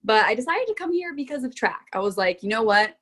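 A woman's voice: on the high side, very animated, fast, a bit bright. Bubbly young woman from Florida. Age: young adult. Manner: bubbly, chatty, laughs often. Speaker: guest. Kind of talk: casual podcast interview.

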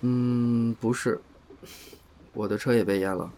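A man's voice: medium pitch, fairly even intonation, unhurried, warm tone, slight background noise, close to an everyday voice. A man playing a reserved, awkward, dutiful young man in an audio drama, speaking hesitantly and tersely. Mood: flustered, matter-of-fact.

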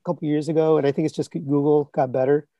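A man's voice: on the high side, level tone, quick pace, warm tone, no echo. A man in his thirties or forties with a scratchy voice, chatting casually on a podcast in a rambling way full of fillers and restarts. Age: 30s to 40s. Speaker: guest, collectibles reviewer.

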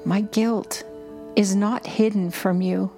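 A woman with a mid-range voice reads as a prayer guide, very unhurried and deliberate. Her phrases come short and measured, in a contemplative devotional style. Music plays beneath her voice. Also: mid-range voice, fairly even intonation, warm tone. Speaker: prayer guide and podcast host. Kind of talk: slow, repetitive guided contemplative prayer reading. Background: music under the voice.

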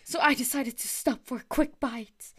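A young woman reading a fanfiction aloud with friends, deadpan and swearing often. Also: high-pitched, moderate pace, husky. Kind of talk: casual comedic reading.

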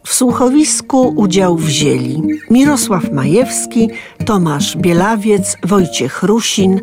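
A woman's voice: medium pitch, animated, slow pace. A woman reading scripted credits as a radio announcer. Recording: plucked guitar music behind. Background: guitar music.

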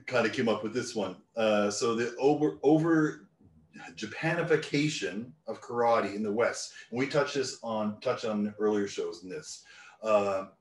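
A man's voice: on the low side, unhurried, recorded from a distance. A man from Newfoundland hosting a casual podcast, loose and joking, laughing often. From Newfoundland, Canada. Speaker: podcast host. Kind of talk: casual chat. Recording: roomy sound, a bit off mic.

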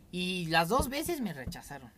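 Mexican podcast host, young man, candid rambling storyteller, faint room noise. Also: high voice. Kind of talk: casual podcast chat.

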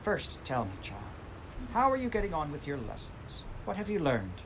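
A man voicing a kindly elderly grandmother, gentle but firm with a child, in a storybook reading. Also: a little high, huge pitch swings, moderate pace, pitched above the performer's own voice. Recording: loud background noise.

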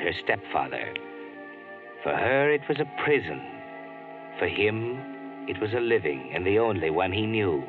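An actor playing a mysterious male narrator who walks by night, with slow, ominous storytelling in 1940s radio narration. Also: lowish voice. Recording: tinny old radio broadcast with music.

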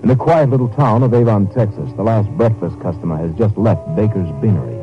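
A man's voice: fairly low pitch, fairly quick. A man giving scripted narration in a 1950s radio drama style. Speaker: story narrator. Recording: muffled old radio recording. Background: faint music.

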